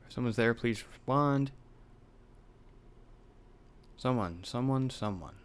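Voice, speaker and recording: A man's voice: mid-range voice, takes it slowly, a little hiss or hum. Young man reading a horror game aloud. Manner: reads dialogue dramatically, swears in asides. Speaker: let's play host.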